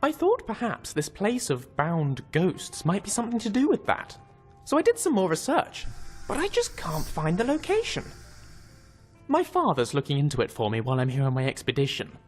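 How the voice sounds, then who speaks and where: A man's voice: on the high side, huge pitch swings, moderate pace, soft background music. A man voicing an eager, bookish young scholar adventurer in a fantasy game, earnest, articulate and enthusiastic. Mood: earnest, curious. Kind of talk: fantasy game companion dialogue.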